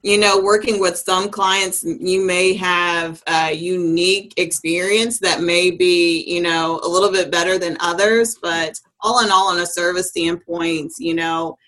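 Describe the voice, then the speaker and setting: A woman who works as an agent, talking on a casual video call in an agreeable, measured way. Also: lowish voice, steady tone, fairly bright tone, rough recording. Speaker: real estate agent.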